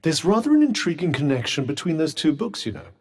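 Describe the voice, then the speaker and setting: A man's voice: on the high side, sing-song, quick pace, husky, in a large hall, exaggerated voice. A British man voicing a witty, haughty magical talking vambrace in a video game, with pompous, droll and sardonic delivery. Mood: musing, explaining. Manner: pompous, droll, erudite wording. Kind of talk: video game character dialogue.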